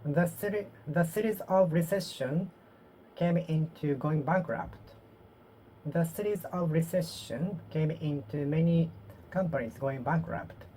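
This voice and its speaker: A Japanese woman with a Japanese accent practicing English aloud to herself, halting and repeating phrases as she corrects them. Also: low-pitched, warm tone, slight background noise, rough recording. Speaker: vlogger. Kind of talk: solo English speaking practice, talking to herself.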